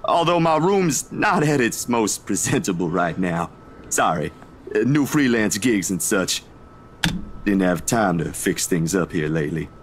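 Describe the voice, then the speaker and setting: A man playing a friendly young male photographer in a video game, with a casual, chatty, expressive delivery. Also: on the low side, measured pace, a little hiss or hum. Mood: casual, hospitable. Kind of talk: naturalistic video game voice acting.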